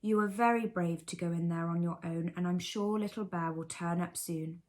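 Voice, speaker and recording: A woman voicing a patient, kindly old male toy bear, gentle and reassuring, in a bedtime storybook. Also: fairly low pitch, steady tone, moderate pace, lightly acted.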